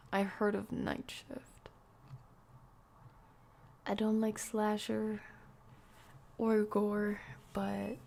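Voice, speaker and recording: A young woman streaming a horror game, chatting to viewers in a soft, breathy half-whisper. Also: medium pitch, slight background noise. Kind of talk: casual let's-play chat.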